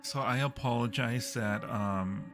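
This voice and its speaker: A man's voice: mid-range voice, unhurried. Casual streamer: man, very leisurely talker, playful with his viewers, background music under the voice. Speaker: livestream host. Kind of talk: casual game livestream chat.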